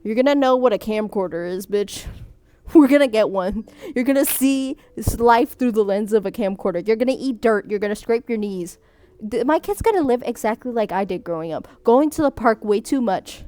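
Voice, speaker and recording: An animated young woman reads viewer submissions in a casual chat, her voice sitting a little high. Vietnamese was her first language. She laughs often, breaks off mid-sentence and starts over. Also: dry recording. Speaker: streamer reading viewer submissions.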